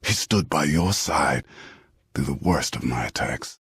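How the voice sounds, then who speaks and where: An extremely deep, husky, solemn warlord. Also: male voice, lively intonation, fairly bright tone, no room sound, clean studio sound, full character voice. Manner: solemn, measured, earnest. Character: grave male former enemy turned devoted ally. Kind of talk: dramatic video game acting.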